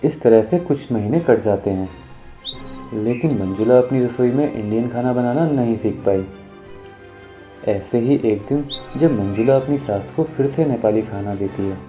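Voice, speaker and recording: A man narrating an animated moral story in a scripted storytelling style. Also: medium pitch. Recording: music under the voice.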